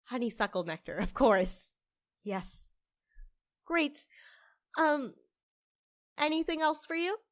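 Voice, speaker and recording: A woman's voice: sits high, sing-song, slow, long pauses, no room sound, studio quality, full character voice. A woman playing a stressed, stubborn barista in an audio drama, with a wry, defensive, casual way of talking. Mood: polite, flustered. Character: stressed, stubborn half-human young-looking woman barista, grieving, wry.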